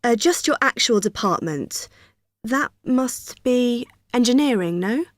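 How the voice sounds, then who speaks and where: An actress playing a friendly student union receptionist who explains a form patiently in a scripted exam dialogue. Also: female voice, fairly high pitch, expressive, measured pace, dry recording, studio quality. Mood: helpful. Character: cheerful young female union helper.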